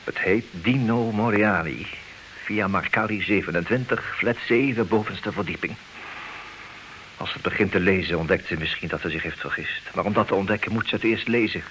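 An actor playing a talkative betrayed husband in a Dutch comic radio play, theatrical and wry, wavering between agitation and resignation. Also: male voice, on the low side, moderate pace, a bit bright, natural voice, poor audio. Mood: rueful. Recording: hissy old radio play recording.

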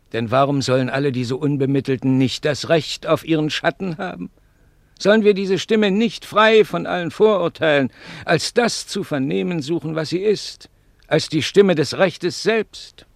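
An actor playing a pompous, self-righteous lawyer in a 1950s German radio play, declaiming and lecturing with rhetorical flourish. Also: male voice, fairly high pitch, vocal fry, no echo. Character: pompous, self-righteous male lawyer, preaching high principle. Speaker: advocate character narrating and arguing.

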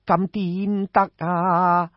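A man with a Cantonese accent voicing a grave, pedantic ancient scholar who speaks in slow, drawn-out formal phrases for a storybook tale. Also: high voice, flat delivery, no room sound, muffled recording. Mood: worried.

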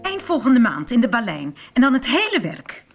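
A bubbly bohemian artist mother, high-pitched and very animated. She is playful and theatrical in the manner of a radio soap. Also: normal speed, husky, faint music behind, full character voice. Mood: excited, playful.

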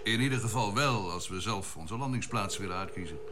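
An actor playing a level-headed spaceship navigator in a Dutch science-fiction radio drama, clipped and matter-of-fact. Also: male voice, lowish voice, a little fast, natural voice. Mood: calm, businesslike. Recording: noisy old radio drama recording.

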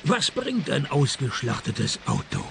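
Knarziger, trockener Privatdetektiv. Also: male voice, medium pitch, measured pace, vocal fry, background noise, full character voice. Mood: dry questioning. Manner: dry, sardonic, deadpan. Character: wry, deadpan private detective narrating his own cases. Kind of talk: radio play detective narration and dialogue.

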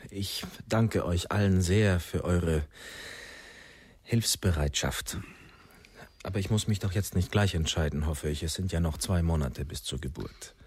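A man's voice: low-pitched, measured pace, a little mellow, slightly rough. An actor playing a troubled, skeptical expectant father in a German radio drama, speaking in a weighty, hesitant manner.

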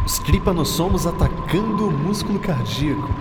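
A man's voice: fairly high pitch, expressive, normal speed, background noise, close to an everyday voice. An actor playing an eager male physician-scientist who explains things like a storyteller, with a Brazilian accent, in an educational radio drama. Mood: guiding.

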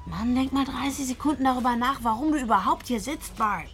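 A woman's voice: sits high, brisk, a bit bright, faint music behind. An actress in a radio drama playing a tough, foul-mouthed woman killer who is commanding, cynical and sardonic. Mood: scornful, angry. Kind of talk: modern radio drama acting.

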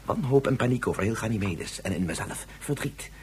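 An actor playing a vain, guilt-ridden inventor in a Dutch radio drama, speaking in a theatrical, confessional way. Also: male voice, lowish voice, lively intonation, a little fast, a little mellow, breathy edge. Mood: anguished, confessional. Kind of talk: Dutch science-fiction radio drama acting.

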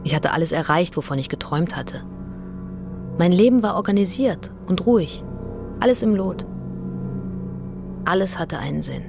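An actress playing an insistent woman in her late thirties, clearly enunciating in a naturalistic radio drama. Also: lowish voice, lively intonation, moderate pace, long pauses, music in the background. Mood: subdued, confessional. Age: 30s. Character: Mira, tireless, insistent interpreter friend in her late thirties.

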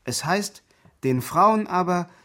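A man's voice: sits high, expressive, slow, fairly bright tone, exaggerated voice. An actor playing a devout, earnest refugee man from Egypt in a radio drama, speaking German as an in solemn, simple sentences. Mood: preaching, certain.

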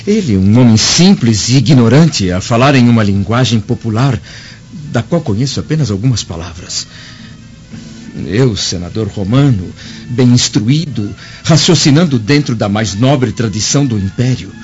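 An actor with a Brazilian accent playing a proud, brooding Roman senator in pompous radio drama monologue. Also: male voice, mid-range voice, expressive, moderate pace, natural voice. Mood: haughty. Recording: dull lo-fi audio, loud music behind.

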